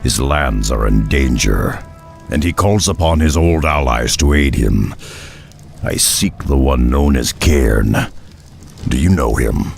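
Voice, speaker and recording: An actor voicing a gruff, stoic half-ogre warrior with a gravelly voice in a video game, speaking bluntly and solemnly. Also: male voice, rumbling low, slow pace, a little mellow, faint music behind, exaggerated voice. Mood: formal. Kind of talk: video game cinematic acting.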